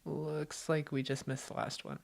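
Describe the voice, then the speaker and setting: A woman playing a doting, gently teasing girlfriend in an intimate roleplay audio, affectionate and reassuring. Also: deep voice, level tone, moderate pace, gravelly, exaggerated voice. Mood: easygoing, caring.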